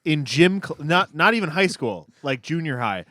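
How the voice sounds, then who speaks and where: A man's voice: on the high side, sing-song, normal speed, bright, forceful tone, no echo, studio quality. A man from the United States co-hosting a podcast, joking and breaking things down in casual chat. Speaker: podcast co-host.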